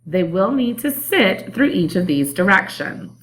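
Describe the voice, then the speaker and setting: A woman teacher narrating a calm instructional screencast about online testing. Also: mid-range voice, measured pace. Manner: calm, explanatory.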